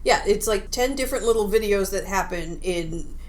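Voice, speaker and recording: A woman's voice: mid-range voice, moderate pace, darkish tone, low-quality recording. A woman co-hosting a podcast, chatting casually and informally. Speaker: podcast co-host. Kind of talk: casual game discussion.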